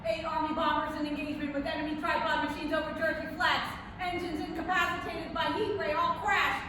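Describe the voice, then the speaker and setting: A woman playing an alarmed, formal military radio dispatcher in a 1930s radio drama reading, with loud clipped calls in a noisy echoey hall. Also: high-pitched, flat delivery, brisk, recorded from a distance, lightly acted. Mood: urgent alarm.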